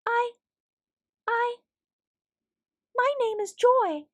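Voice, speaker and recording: A woman's voice: extremely high pitch, drawn-out words, voice pushed up, heavily acted. A woman voicing a small, dainty female mouse in a storybook, chatty and easily startled, with a dramatic way of talking. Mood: shy, nervous.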